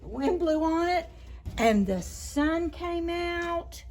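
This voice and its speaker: A woman reading stories aloud to young children as a storytime host, expressive and deliberate, stretching out key words. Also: extremely high pitch, slight background noise. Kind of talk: read-aloud storytelling for preschoolers.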